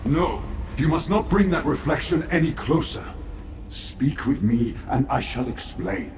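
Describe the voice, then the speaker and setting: Grave, slow-speaking doomed servant. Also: male voice, on the high side, animated. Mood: urgent, despairing. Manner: grave, urgent, formal. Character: despairing, loyal male servant of a fallen prince. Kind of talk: scripted fantasy video game dialogue. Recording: echoey room, faint background noise.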